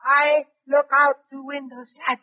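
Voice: high voice, flat delivery, unhurried. An actor playing a timid young male servant who pleads in broken English, in 1940s radio drama style. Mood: timid, frightened. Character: frightened, timid young male African servant speaking pidgin. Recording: muffled vintage 1940s radio broadcast.

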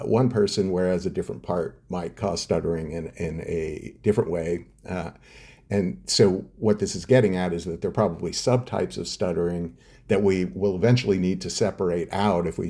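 A man answering interview questions as a researcher, explaining at length with frequent pauses and filler sounds. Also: sits low, gravelly, mellow, husky. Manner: hesitant, many filler uhs and restarts. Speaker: neuroscience researcher guest.

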